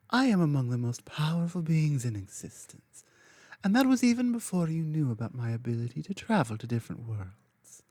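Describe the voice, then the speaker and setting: Slow, commanding dragon queen, played by a woman. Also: a bass voice, warm tone, dry recording, heavily acted. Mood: solemn, secretive. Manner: slow, deliberate, solemn, dropping into whispers. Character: powerful, commanding dragon queen training a human champion. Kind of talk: ASMR audio roleplay, scripted monologue.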